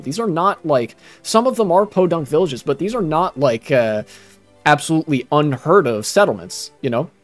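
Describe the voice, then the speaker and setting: A young adult man, rambling casually and earnestly as he explains things during a game stream. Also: fairly high pitch. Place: small room at home, indoors. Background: game music.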